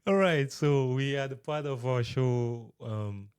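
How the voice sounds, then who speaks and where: A man's voice: medium pitch, unhurried, darkish tone, smooth. A man hosting a casual interview, easygoing and laughing along with his guest. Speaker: host.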